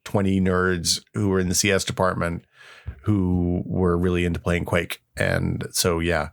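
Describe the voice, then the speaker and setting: A man's voice: deep voice, level tone, a little slow, creaky. A middle-aged man co-hosting a podcast in casual, conversational tech chat. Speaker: podcast co-host.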